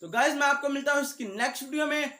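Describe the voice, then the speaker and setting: A young man with a high voice hosting a vlog and talking directly to his viewers. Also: steady tone, racing, bright, forceful tone. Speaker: video creator, host. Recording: echoey room.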